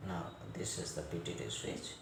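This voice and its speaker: A man giving an unscripted hands-on repair tutorial as a technician, speaking non-native English in halting, piecemeal phrases and repeating words. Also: low-pitched, lively intonation, vocal fry. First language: not English. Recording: roomy echo, faint background noise.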